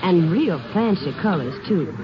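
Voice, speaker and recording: High-pitched folksy Texan laundryman. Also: lively intonation, measured pace, over loud music. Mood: chatty, obliging. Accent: rural Texas. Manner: rambling, folksy, rustic grammar. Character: folksy, chatty male small-town Texas laundry worker. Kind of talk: 1950s radio drama acting. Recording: dull old radio recording, busy crowd-like din.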